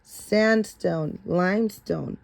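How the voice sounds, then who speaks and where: Slow-paced woman reading a picture book aloud. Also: lowish voice, vocal fry, a little mellow. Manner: stumbles over harder words and corrects herself. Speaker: story reader.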